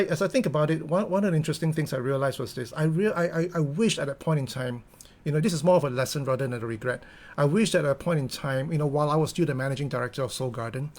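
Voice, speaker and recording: A middle-aged Singaporean man with a Singaporean English accent, giving an earnest motivational talk full of fillers and restarts. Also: fairly high pitch. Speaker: company founder giving a talk.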